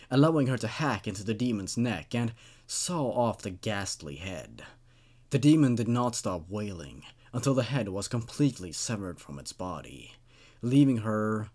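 A man's voice: fairly low pitch, darkish tone. A man in his twenties hosting as a reader and reviewer of horror stories, moving between dramatic reading and casual commentary. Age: 20s.

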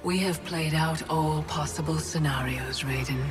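A woman voicing a serene, condescending titan goddess villain in a video game, with imperious, breathy menace. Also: deep voice, unhurried, over music. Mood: cold menace. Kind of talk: dramatic video game cutscene acting.

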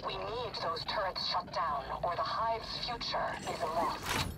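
High-pitched male rebel over noisy radio. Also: slow pace. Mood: urgent, concerned. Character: urgent rebel ally calling in over comms. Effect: radio comms. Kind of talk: video game voice acting.

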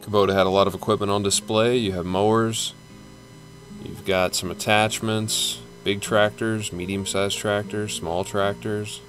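Casual male vlogger, lowish voice, relaxed show-floor commentary, faint music bed. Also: measured pace. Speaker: channel host. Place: large indoor exhibition hall.

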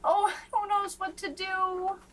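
A woman's voice: extremely high pitch, monotone, takes it slowly, fairly bright tone, recorded from a distance, pitched above the performer's own voice, heavily acted. A woman voicing a frantic young page boy in a storybook, wailing theatrically for help. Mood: frantic, pleading. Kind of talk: storybook read-aloud.

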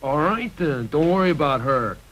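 A man's voice: a little high, animated, normal speed, a bit bright. A man voicing a mean, bossy, scheming monster puppet in a children's educational show, dismissive and goading. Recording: noisy old 1960s film soundtrack.

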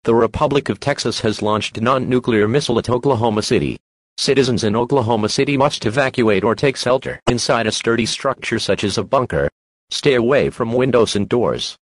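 A man's voice: medium pitch, a little fast, no room sound. A man reading a scripted emergency broadcast announcement in a formal, impersonal manner.

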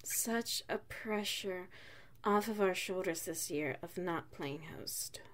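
A woman playing an affectionate, playful wife in an ASMR roleplay, speaking in a soft, intimate manner. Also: fairly low pitch, slow pace. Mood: playful, cuddly. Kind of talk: ASMR roleplay, one side of a dialogue.

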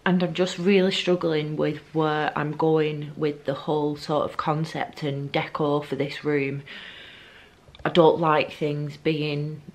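A woman, talking casually to camera as a home vlogger in a hesitant, musing way. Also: low voice. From North of England. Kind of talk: casual vlog talk to camera. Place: living room at home.

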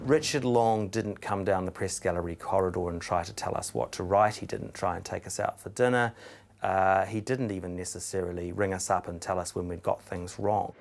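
A man's voice: sits low, fairly even intonation, brisk, vocal fry. A man in his thirties, a political journalist, explaining events frankly in a documentary interview in his office. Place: cluttered office, indoors.

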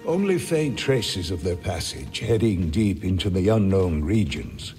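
Deep-voiced, courteous old scholar. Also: male voice, very animated, darkish tone. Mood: solemn. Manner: measured, courteous, formal. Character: courteous old Jedi scholar, learned and gently wry. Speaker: Jedi scholar and archivist. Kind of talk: video game cutscene acting. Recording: reverberant game scene with music. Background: cinematic music.

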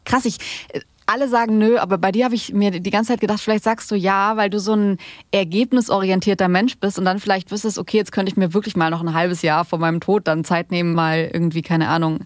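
Fast-talking woman interviewing casually. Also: mid-range voice, steady tone, no room sound, clean studio sound. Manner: informal, colloquial. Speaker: podcast host, interviewer.

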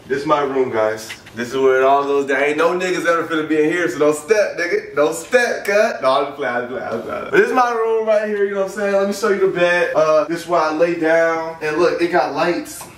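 A man's voice: fairly high pitch, a little fast, hardly any pauses. Joking young man, echoey house tour. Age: young adult. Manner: joking, slangy, keeps asking "you feel me". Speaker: vlogger giving a house tour. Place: rooms of a house, indoors. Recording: echoey rooms, camera mic from a distance.

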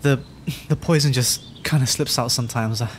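A man's voice: mid-range voice, fairly quick, slight background noise, lightly acted. A man voicing a timid, adorkable young dragon in a roleplay audio drama, stammering, hesitant and rambling. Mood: flustered, apologetic.

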